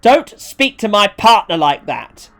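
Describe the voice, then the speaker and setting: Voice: mid-range voice, expressive, fairly quick, darkish tone, soft background music, pitched above the performer's own voice, natural voice. A storyteller voicing an irate, stern, indignant event organiser in acted story dialogue.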